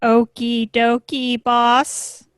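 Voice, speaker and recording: A woman acts out a little robot girl for a sitcom. She speaks extremely slowly in a highish, close dry voice. She is literal and obedient, repeating orders exactly. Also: clean tone. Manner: flat, literal, echoing commands.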